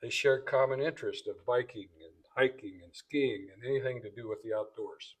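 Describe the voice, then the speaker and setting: Man with halting delivery, mid-range voice. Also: medium pitch, husky. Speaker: presenter introducing guests. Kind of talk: banquet introduction speech, read from notes with asides. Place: banquet event room.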